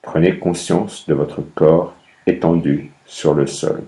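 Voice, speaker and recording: A man guiding a meditation as a yoga nidra instructor, speaking calmly in measured instructions with long pauses between phrases. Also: extremely low pitch, low-quality recording. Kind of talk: guided meditation, slow instructions.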